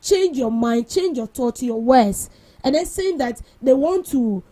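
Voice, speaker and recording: A woman's voice: sits high, lively intonation, warm tone. A young woman with a Ghanaian English accent, co-hosting a breakfast radio talk show and firmly arguing her views on the news. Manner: emphatic, quick to cut in with firm opinions. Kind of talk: casual radio chat about news.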